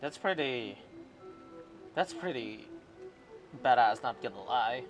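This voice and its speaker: A man's voice: mid-range voice, lively intonation, slow. A man streaming a video game, giving casual, slangy commentary in short exclamatory reactions. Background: game music.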